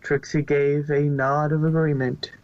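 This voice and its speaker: A man's voice: on the high side, flat delivery, unhurried, no echo. Male story narrator, dull lo-fi sound. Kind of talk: amateur fan fiction narration.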